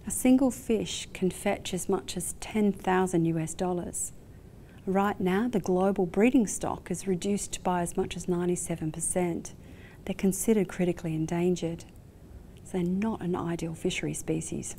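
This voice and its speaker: Aussie woman teaching marine science, calm clear explainer, lowish warm voice, lab studio. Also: a little hiss or hum. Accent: Australian. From Australia. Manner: clear, measured, explanatory delivery to camera. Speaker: marine biology professor presenting. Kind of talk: scripted educational presentation. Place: indoor lab studio with aquarium.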